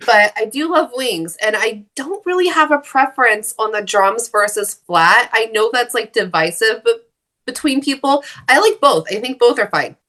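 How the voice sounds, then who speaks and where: A young woman with a slightly high voice chats frankly on a podcast, playful and unfiltered, dropping a swear now and then. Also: sharp tone. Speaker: co-host. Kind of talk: casual roundtable chat.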